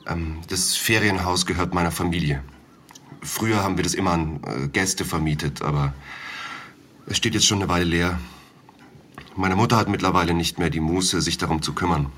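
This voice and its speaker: A man's voice: low voice, moderate pace, fairly bright tone, a little hiss or hum. An actor in his thirties playing a hesitant village man and father of two, talking with fillers and small slips. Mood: explaining. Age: 30s. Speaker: villager and witness. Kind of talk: audio drama acting.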